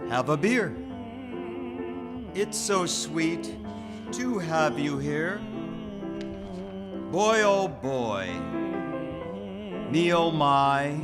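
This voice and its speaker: A man's voice: high voice, full character voice. A man playing an elderly, genial star of the stage in a live radio theater comedy, theatrical and suave. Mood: genial, welcoming. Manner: theatrical, debonair, speaks song lyrics in rhythm.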